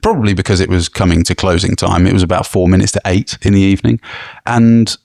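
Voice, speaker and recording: A young man co-hosting a podcast, telling an animated story with frequent laughs and restarts. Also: sits low, fast, creaky, dry recording, studio quality. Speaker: podcast co-host. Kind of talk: casual chat, storytelling.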